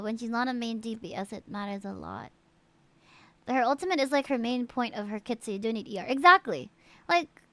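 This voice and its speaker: Female live streamer, bright forward voice, dry sound; casual, chatty, animated game talk aimed at her viewers. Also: a little high, dry recording. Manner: animated, chatty, frequent exclamations and asides to viewers. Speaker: live streamer reviewing players' game characters. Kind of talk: casual live-stream chat, reading out stats.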